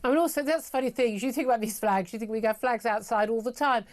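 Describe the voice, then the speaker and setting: A woman's voice: fairly high pitch, quick pace. Sarcastic, opinionated radio host woman. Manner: emphatic and sarcastic, many fillers. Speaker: radio talk show host. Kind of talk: opinionated political talk radio.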